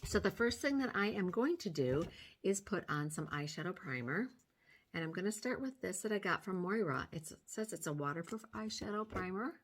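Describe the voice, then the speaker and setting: An older woman with a hoarse edge to her voice talks slowly and gently through each makeup step. Her pitch sits in a middling range for a woman. She is recorded close up on a phone in a small room at home. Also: animated. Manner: gentle, step-by-step explaining while applying makeup. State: hoarse from sinus trouble. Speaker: beauty vlogger. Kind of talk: makeup tutorial chat. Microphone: phone camera close up.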